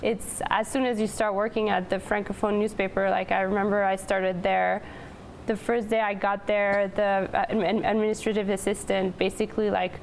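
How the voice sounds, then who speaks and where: A woman's voice: medium pitch, flat delivery, moderate pace, creaky, a little hiss or hum, rough recording. A woman in her twenties from Montreal, chatting casually as a studio interview guest on a lapel microphone. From Montreal, Canada. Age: 20s. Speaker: interview guest, newspaper editor-in-chief. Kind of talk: casual chat.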